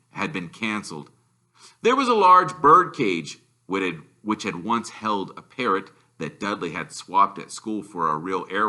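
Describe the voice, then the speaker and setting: A man narrates a children's novel with very bright, forceful delivery. His pitch swings in a lively way, and he takes an unhurried, deliberate pace as he tells the story. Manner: animated, deliberate. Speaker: reader, storyteller.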